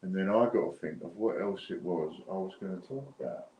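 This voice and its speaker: Deep-voiced British man hosting a livestream. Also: fairly quick, a little mellow. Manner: chatty, informal, rambling. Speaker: giveaway livestream host. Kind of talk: casual unscripted livestream chat. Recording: dull lo-fi, roomy echo, clear background noise.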